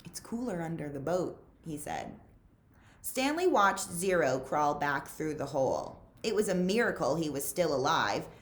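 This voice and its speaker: A woman reading a story aloud to young listeners in an expressive, deliberate, suspenseful storytelling manner. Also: fairly low pitch. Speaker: librarian reading aloud to children. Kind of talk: expressive read-aloud storytelling, pausing to ask listeners questions.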